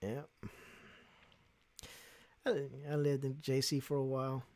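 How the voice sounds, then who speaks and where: A man's voice: mid-range voice, completely flat delivery, slow pace, a little mellow. A man hosting a casual live stream, teasing his guests and the chat with frequent laughs. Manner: talks to chat and guests while they draw.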